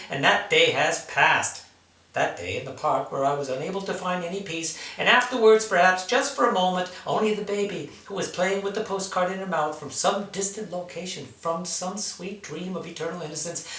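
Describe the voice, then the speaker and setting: Echoey, bright-voiced man reading dramatic literary work. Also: high-pitched. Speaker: writer reading own work. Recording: echoey room, distant mic.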